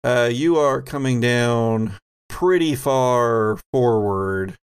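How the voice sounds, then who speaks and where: A man's voice: medium pitch, takes it slowly, clear, resonant tone, no room sound, studio quality. A man running a tabletop role-playing game as game master, improvising descriptions and explaining rules in a conversational way.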